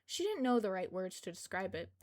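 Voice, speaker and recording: A woman's voice: medium pitch, very animated, fairly quick, a little mellow. A woman reading scripted fiction aloud as narrator of a fan fiction podfic.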